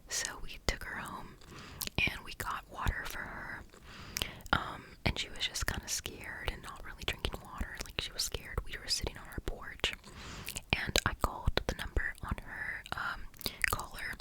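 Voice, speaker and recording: A breathy, unvoiced whisper telling a story for ASMR, close and hushed the whole way through. The speaker rambles, fills gaps with "like," and gets tongue-tied, restarting phrases again and again. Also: moderate pace, rough recording. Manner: rambling, heavy use of "like". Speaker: ASMR creator.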